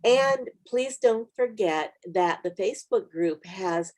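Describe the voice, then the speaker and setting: A woman's voice: medium pitch, lively intonation, takes it slowly, darkish tone. A woman hosting a Bible study video, talking conversationally in a reflective, encouraging way. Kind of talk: conversational small-group teaching.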